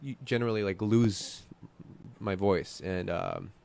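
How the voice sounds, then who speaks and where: Male guest singer, low voice, relaxed, chuckles a lot, lots of "you know", small studio desk mic. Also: unhurried, gravelly. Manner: laid-back, laughs often, fills with "you know". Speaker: band vocalist guest. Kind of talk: casual podcast chat. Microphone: desk mic with headphones.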